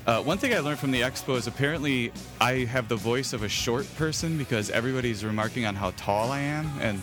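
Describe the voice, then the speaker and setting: A man's voice: mid-range voice, fast, over music. A man hosting a podcast in casual, jokey conversation over an internet call, prone to chuckling. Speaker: podcast host. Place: remote Skype call.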